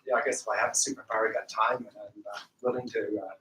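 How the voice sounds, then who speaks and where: An older man, a retired executive and mentor, introducing himself to a group in a measured, halting way. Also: on the low side, level tone, sharp tone. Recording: echoey room, distant, faint noise.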